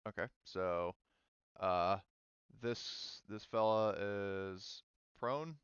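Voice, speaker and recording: Guy game mastering a tabletop rpg, super clean smooth voice, kinda thinks out loud, dry slightly dull mic. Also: on the low side, no room sound. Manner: halting, thinking aloud. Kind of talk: running a tabletop role-playing game.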